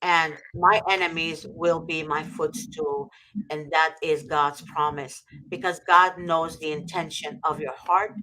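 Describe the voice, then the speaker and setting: Fervent preachy woman, bright voice. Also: low-pitched, fairly even intonation, measured pace, slight background noise, poor audio. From Egypt. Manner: fervent, preachy, repeats for emphasis. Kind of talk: impassioned faith talk.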